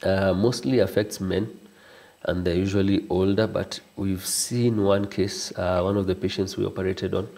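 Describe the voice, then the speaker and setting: A Kenyan man with a very low voice explains throat conditions in a patient, measured way. His delivery stays even and flat, with little rise or fall, and Swahili phrases slip in now and then. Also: vocal fry. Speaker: ENT doctor. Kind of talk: explaining on a TV health interview.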